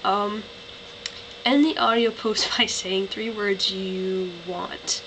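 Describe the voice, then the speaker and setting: A woman's voice: medium pitch, fairly even intonation, slow. A tired young woman with a Canadian accent, rambling through an accent-tag vlog with lots of "like" and "um". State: tired, voice lower than usual. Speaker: vlogger. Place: bedroom. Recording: noisy home webcam recording.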